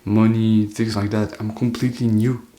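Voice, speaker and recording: A man's voice: lowish voice, level tone, normal speed, mellow. An eighteen-year-old man talking to camera in an earnest, loose, reflective monologue, full of "like" fillers. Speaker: self-improvement vlogger.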